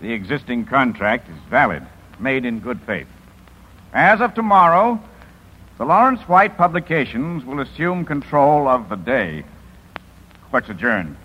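An actor playing a stern, authoritative judge with a husky voice and deliberate, formal manner in a 1950s radio drama. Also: male voice, medium pitch, lively intonation. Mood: stern, official. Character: stern, formal male courtroom judge, measured and official. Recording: dull vintage radio broadcast.

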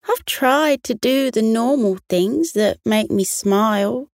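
A woman's voice: on the high side, no room sound, studio quality, pitched above the performer's own voice. A woman voicing a downcast female kookaburra in a children's bedtime story, sighing and drawing out her words. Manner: sighing, downcast, drawn-out speech. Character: glum female kookaburra who lost her laugh.

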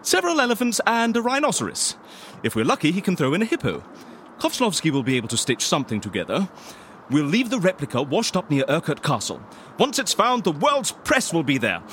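A man's voice: fairly high pitch, huge pitch swings, brisk, a bit bright, a little hiss or hum. A man playing a polite, eccentric fixer in a comedy audio drama, hesitant and theatrical in his manner. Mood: eager, scheming. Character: eccentric, polite, excitable male fixer interviewing a client. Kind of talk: audio fiction comedy acting.

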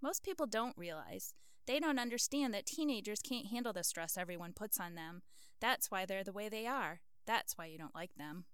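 A woman's voice: medium pitch, expressive, normal speed, fairly bright tone, clear, resonant tone, no echo, clean studio sound, lightly acted. A woman playing a frank older wife in an audio drama, speaking in an earnest, lecturing way. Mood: earnest, reproving.

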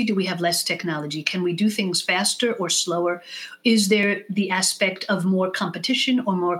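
A woman giving explanatory advice as an expert podcast guest, speaking deliberately and emphatically, often in lists. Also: mid-range voice. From born in the United States, raised in Israel from age six.

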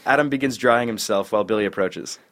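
A man's voice: fairly low pitch, fast, fairly bright tone. Man narrating comedy script directions. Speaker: stage-direction reader.

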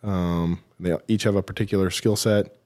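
A man being interviewed as a military veteran, telling his story haltingly with self-deprecating, dry humour. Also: sits low, steady tone, a little mellow. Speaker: podcast guest, military veteran.

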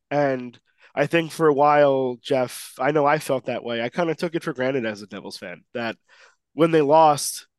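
A man's voice: a little high, no room sound. A man co-hosting a sports podcast who is losing his voice and sounds a little nasal, talking emphatically with lots of restarts. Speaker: podcast co-host. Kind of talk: excited sports podcast chat.

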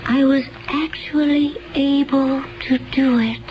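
A woman's voice: high-pitched, steady tone, slow. An actress in a 1970s radio drama playing a mysterious young woman, detached and wondering, with formal phrasing. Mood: dazed, wondering. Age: young adult. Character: mysterious, dazed young woman accused of killing. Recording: muffled old radio, music behind.

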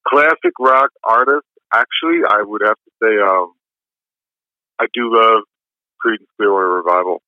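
A man with a low voice, giving easygoing, rambling interview answers full of fillers. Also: moderate pace, no room sound. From New York. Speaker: musician guest. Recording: dull lo-fi dry sound.

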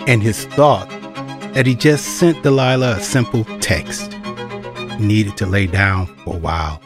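A woman's voice: a bass voice, a little slow. A woman narrating a scripted audio drama as its storyteller. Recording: music behind the voice.

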